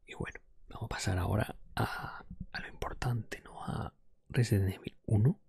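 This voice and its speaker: ASMR host, male, Spain Spanish accent. Whispered, very husky and breathy with some fry, dry close-mic sound, informal opinionated chat. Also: fairly low pitch, fairly even intonation, normal speed, dry recording. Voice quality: breathy, mostly whispered, soft-spoken. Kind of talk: ASMR, whispered casual video game review. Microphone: close microphone.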